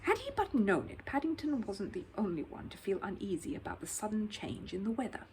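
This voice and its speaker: A woman reading a children's story as an expressive, dramatic narrator. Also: mid-range voice, a little fast, a little hiss or hum, low-quality recording. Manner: animated, dramatic.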